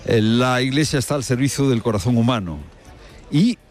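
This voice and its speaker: Male radio presenter, mid-pitched, emphatic and rhetorical, hammers key phrases by repetition, faint music bed. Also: normal speed, darkish tone. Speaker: radio presenter commenting on the news. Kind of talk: live spoken commentary with field reporting.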